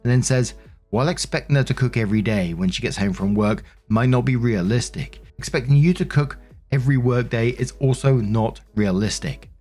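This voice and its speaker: A man's voice: mid-range voice, fairly quick, darkish tone, soft background music. A man hosting a story show, reading posts aloud in a narrating style with casual commentary. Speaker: host narrating online stories.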